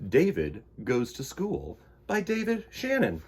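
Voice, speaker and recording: Story-time host, man: slightly high, husky, lively and slow-paced, talks straight to kids. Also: a little hiss or hum. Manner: friendly, animated and unhurried, addressing kids directly. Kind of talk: reading a picture book aloud to children.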